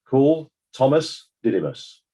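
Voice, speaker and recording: A man playing a formal examiner who puts measured questions to witnesses in a scripted inquest drama. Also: medium pitch, animated, dark tone. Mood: formal, direct.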